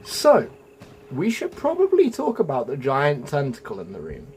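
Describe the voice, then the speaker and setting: A man's voice: medium pitch, huge pitch swings, moderate pace, a little hiss or hum. A man narrating a casual gaming guide in a chatty way, addressing viewers directly and musing as he goes. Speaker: gaming video creator.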